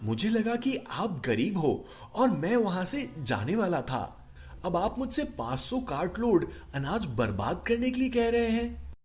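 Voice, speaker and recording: A man's voice: high voice, big pitch swings, a little slow, darkish tone, pitched above the performer's own voice. A man voicing a puzzled, earnest young warrior prince in a cartoon myth, questioning respectfully. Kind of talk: cartoon mythological storytelling dialogue.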